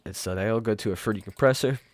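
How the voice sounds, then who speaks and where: A young man hosting a casual software tutorial in an informal, rambling way. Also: fairly low pitch, fast. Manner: informal, rambling, mild swearing. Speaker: tutorial host. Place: room at home.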